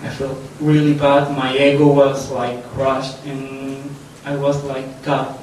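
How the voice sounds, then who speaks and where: A young man from Colombia, giving a personal testimony to a gathering in a nervous, halting, self-deprecating way. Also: medium pitch, completely flat delivery, darkish tone. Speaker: church testimony speaker. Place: reverberant room, speaking to a gathering. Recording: echoey room, distant mic, faint noise.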